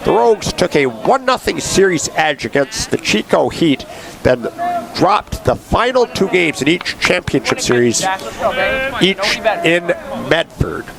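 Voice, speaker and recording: A man's voice: a little high, very animated, unhurried, gravelly, crowd noise. A man doing baseball play-by-play commentary, sounding congested, chatty and given to history asides. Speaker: play-by-play announcer.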